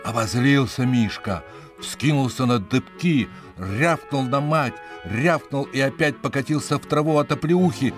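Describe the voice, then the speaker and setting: A man reading a children's animal story in a dramatic, playful storytelling manner, with shifting emphasis and pauses. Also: mid-range voice, faint music behind. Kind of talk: expressive scripted storytelling for children. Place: studio.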